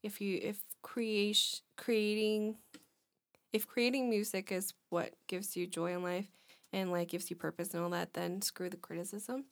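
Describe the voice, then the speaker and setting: A young woman in her twenties chats casually on a podcast, circling her thoughts with restarts and fillers. Her voice sits at an ordinary middle pitch. The recording is dry and close. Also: fairly even intonation, no room sound. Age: 20s. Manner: rambling, halting, many restarts and fillers. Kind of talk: casual chat.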